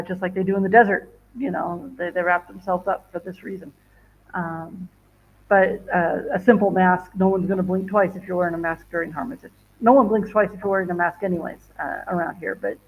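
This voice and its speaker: A woman's voice: lowish voice, slightly rough. Woman, travel vlogger, casual live chat, rambling with fillers, muffled stream audio. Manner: rambling, many fillers and restarts. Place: home in northern Ghana.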